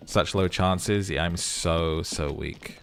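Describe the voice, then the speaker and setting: A man with a hoarse voice, chatting through his gameplay in a self-mocking way. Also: deep voice, takes it slowly. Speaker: let's play host. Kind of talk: gameplay commentary and narration.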